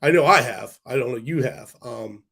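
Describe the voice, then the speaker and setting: A man hosting a casual stock-market livestream, hoarse from a cold, rambling with fillers and restarts while answering viewer comments. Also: mid-range voice, normal speed, fairly bright tone. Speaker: stock stream host.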